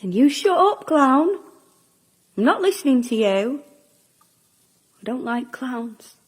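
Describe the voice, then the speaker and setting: A woman playing a guarded, defiant young woman in a naturalistic audio drama, with a husky voice. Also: high-pitched, sing-song, slow pace, heavily acted. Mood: irritated, defiant.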